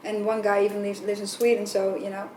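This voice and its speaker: A woman's voice: mid-range voice, flat delivery, fairly quick, darkish tone. A woman from the Netherlands with a Dutch accent and a light voice, chatting loosely in an interview with lots of fillers and restarts. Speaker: band vocalist. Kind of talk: casual interview answers. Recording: roomy, far from mic, faint noise.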